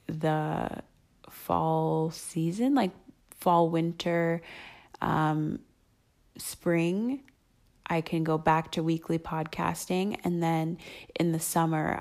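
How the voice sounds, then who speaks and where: A young adult woman with a hoarse voice, rambling and thinking aloud with many ums as she hosts her solo podcast. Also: fairly low pitch, a little mellow. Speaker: podcast host. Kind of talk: casual solo podcast chat.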